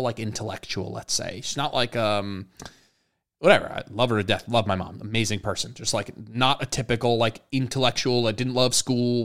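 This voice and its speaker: A young man hosting his own fitness podcast, talking loosely and rambling, with many fillers and restarts. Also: on the high side. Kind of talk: casual solo podcast monologue.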